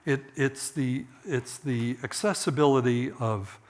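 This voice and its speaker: A middle-aged man presents at a technical conference with a slightly hoarse voice at an ordinary male pitch. His delivery is informal and conversational, with hedged asides as if he is thinking aloud. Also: measured pace. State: voice getting hoarse.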